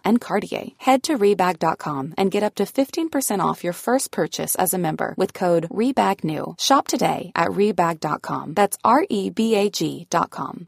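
A woman reads an ad script in a polished, even way. Her voice sits lowish with a gravelly fry, recorded dry and close. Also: no room sound. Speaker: ad announcer. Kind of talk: scripted ad read.

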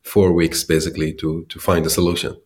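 A man from Syria, a startup founder in his thirties, answering interview questions with many pauses and restarts. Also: deep voice, level tone, a little mellow. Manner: hesitant, many fillers and restarts.

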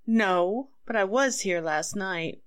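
A woman playing a distraught accused wife in 1940s radio melodrama, halting, anxious and pleading. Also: medium pitch, animated, clean tone.

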